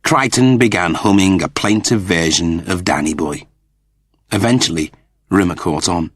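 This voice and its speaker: A man's voice: low voice, normal speed, breathy edge, dry recording, studio quality. A man narrating a story as an audiobook, in a dramatic scripted style.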